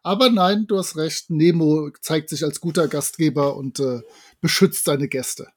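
A man's voice: fairly high pitch, measured pace. A man in a casual podcast discussion, talking in a playful, mock-dramatic way. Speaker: podcast panelist.

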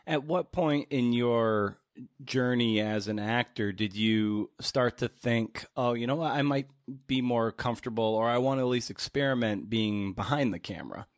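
A man's voice: mid-range voice, moderate pace, no room sound, clean studio sound. A man hosting a podcast interview, asking long conversational questions in wordy, searching phrasing.

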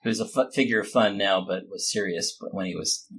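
A man's voice: lowish voice, fairly quick, fairly bright tone, slight background noise. A man hosting a casual chat in a playful, teasing and giggly manner. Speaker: host.

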